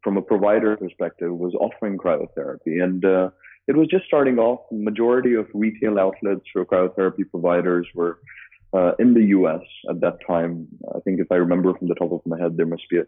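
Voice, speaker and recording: A man with a very deep voice speaks over a thin, phone-like line. He is from Dubai and answers casually as a guest. He hesitates often, stopping and restarting his sentences. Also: husky. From Dubai, UAE. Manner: halting, many filler sounds and restarts. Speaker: guest, business co-founder. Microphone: phone call.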